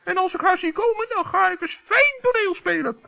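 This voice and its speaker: A little forest gnome talks in an extremely high, piping voice. The delivery is childlike, crafty and theatrical. It sounds dull and lo-fi, like a 1960s children's radio play. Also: a little fast, gravelly. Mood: crafty, amused. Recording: dull lo-fi old radio recording.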